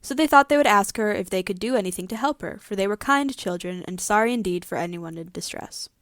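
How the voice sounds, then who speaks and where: A female co-host speaks conversationally at a middling pitch. She chats in short replies and now and then reads aloud, in a dry recording with very little room sound. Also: lively intonation, fast, no room sound. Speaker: podcast co-host. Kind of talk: casual chat, brief replies and short read passages.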